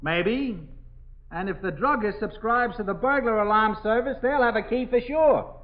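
Actor as a very high-pitched, steady police sergeant. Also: male voice, normal speed, natural voice. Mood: calm, reassuring. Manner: raised voice, plain-spoken questioning. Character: steady, reassuring police sergeant calling to trapped woman. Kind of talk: 1950s radio drama acting. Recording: dull 1950s radio recording.

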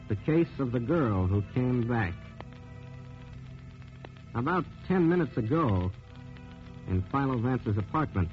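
A man hosting a 1940s radio program with measured, dramatic scripted narration. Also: medium pitch. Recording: dull 1940s radio recording.

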